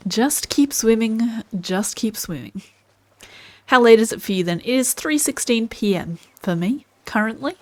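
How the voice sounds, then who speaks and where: A woman's voice: on the high side, expressive, measured pace, dry recording. An Australian woman chatting casually while streaming gameplay and answering viewers' comments.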